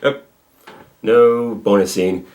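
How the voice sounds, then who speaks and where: A man's voice: fairly low pitch, fairly even intonation, slow pace, a little mellow. A man hosting a reaction video, giving casual, conversational commentary.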